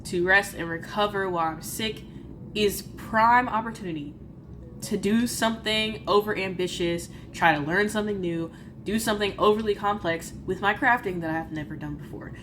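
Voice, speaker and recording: A woman's voice: mid-range voice, normal speed, noisy background. A woman with a nasal, congested voice from a cold, chatting playfully and poking fun at herself while vlogging about her crafting. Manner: chatty, playful and self-mocking. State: congested, stuffy-nosed from a cold. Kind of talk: casual vlog chat and voice-over.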